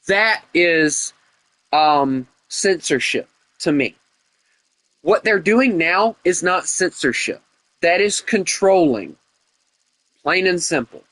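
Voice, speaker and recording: A man's voice: a little high, lively intonation, slow, long pauses, a bit bright, no room sound, poor audio. A young man with a Southern US accent, chatting casually with viewers while gaming, rambling and opinionated with lots of fillers. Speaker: gaming streamer. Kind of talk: casual gameplay chat with viewers.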